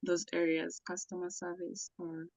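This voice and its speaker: Woman, soft-spoken, steady, lo-fi call audio, dry sound. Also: lowish voice, normal speed, no room sound. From South Sudan. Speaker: event attendee, job seeker. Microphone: video call.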